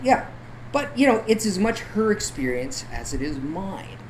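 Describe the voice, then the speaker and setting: A man's voice: high-pitched, sing-song, measured pace, rough recording. Man chatting over background noise. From Canada. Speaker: guest, local artist and photographer. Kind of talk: casual interview chat.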